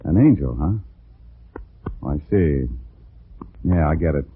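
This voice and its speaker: Hard-boiled private eye, deadpan and wry, deep register, 1940s radio sound. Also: male voice, a little slow, husky, full character voice. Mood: steady, sympathetic. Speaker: lead actor and narrator. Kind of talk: 1940s radio detective acting, first-person narration. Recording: tinny 1940s radio broadcast with music.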